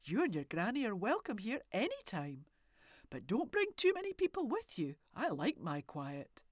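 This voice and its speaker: A woman voicing a loud, gruff old man who lives alone in the woods, for a storybook. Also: wildly swooping pitch, normal speed, no room sound, studio quality. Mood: gentle. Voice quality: booming, loud.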